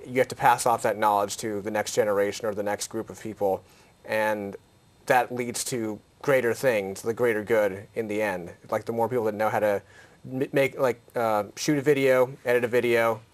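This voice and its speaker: A man's voice: on the low side, fairly even intonation, normal speed. A man from a media collective speaking casually, with lots of "like" fillers. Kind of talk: casual interview conversation.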